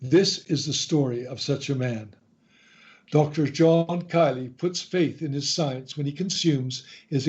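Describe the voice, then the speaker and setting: A man hosting a radio show, reading a scripted introduction in a deliberate, announcer-like way. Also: medium pitch, a little mellow. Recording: slightly dull, lo-fi sound.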